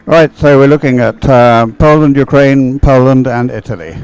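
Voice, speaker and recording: A man's voice: mid-range voice, normal speed, darkish tone, clear, resonant tone, no room sound, soft background music, poor audio. A man giving casual live race commentary as a sports commentator.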